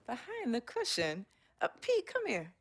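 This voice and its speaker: A high-voiced, animated, caring mother. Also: measured pace, heavily acted. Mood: puzzled, curious. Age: middle-aged. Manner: expressive, fussing, affectionate. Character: caring, gently firm mother of grown children.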